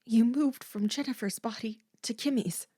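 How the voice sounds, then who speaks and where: A woman voicing a scared, injured teenage girl, crying out high and loud in fear. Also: normal speed, slightly rough. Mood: pleading. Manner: high, shrill cries of fear.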